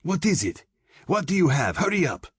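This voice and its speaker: A man's voice: deep voice, sing-song, normal speed, husky, no room sound, studio quality, pitched above the performer's own voice, exaggerated voice. A man voicing a young girl thief in an audiobook, urgent and swinging from tough to pleading. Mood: commanding, nervous. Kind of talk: audiobook character voice.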